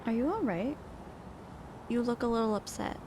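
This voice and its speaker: A woman playing a detective's concerned, conversational partner in a radio drama, with an expressive delivery. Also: a little high, normal speed, natural voice. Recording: clear roadside background noise.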